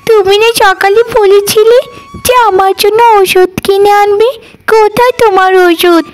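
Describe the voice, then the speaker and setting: A woman's voice: very high-pitched, fairly even intonation, moderate pace. A woman voicing a sick, plaintive village girl in a cartoon, with a whining manner. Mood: suffering, plaintive. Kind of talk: Bengali cartoon dialogue.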